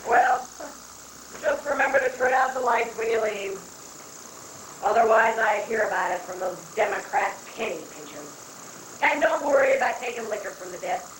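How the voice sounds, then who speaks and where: Woman playing a high-voiced, rambling satirical president. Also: normal speed, natural voice. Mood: breezy. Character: satirical male American president, folksy, vain, guilt-troubled. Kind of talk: amateur dramatic reading of satirical play. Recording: echoey, noisy live recording from far off.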